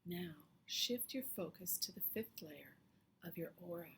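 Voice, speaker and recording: Soft, low-voiced woman guiding meditation. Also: expressive, slight background noise. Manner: soft, hushed, often breathy phrase endings; slow, soothing, with long pauses. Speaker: guided meditation leader. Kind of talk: slow guided meditation.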